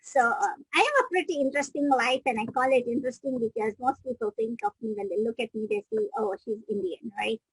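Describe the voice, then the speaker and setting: A middle-aged woman from Kenya talks through her story as an interview guest, pausing and restarting her sentences as she reflects. Her voice sits fairly high and sounds husky. The recording is dry and close, with no room sound. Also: expressive, no echo, low-quality recording. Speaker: guest, business coach.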